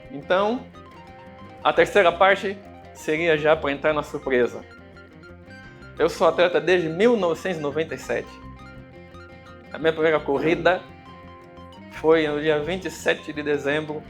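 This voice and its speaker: An Angolan man with a slightly raised voice, his accent mixed with a Brazilian lilt. He gives a conference talk, pausing often and filling gaps with hesitant sounds, while a guitar plays faintly behind him. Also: fairly high pitch. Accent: Angolan Portuguese with some Brazilian. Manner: hesitant, many fillers and pauses. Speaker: conference speaker. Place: conference venue.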